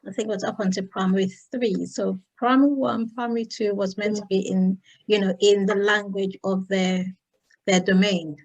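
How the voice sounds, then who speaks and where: A Nigerian woman hosting a panel discussion, speaking thoughtfully with many fillers and pauses. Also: mid-range voice, steady tone, rough recording. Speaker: host.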